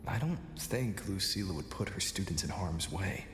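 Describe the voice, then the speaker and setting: A low, earnest game hero. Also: male voice, animated, normal speed. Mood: earnest, guarded. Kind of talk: video game voice acting. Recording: echoey hall reverb, music underneath.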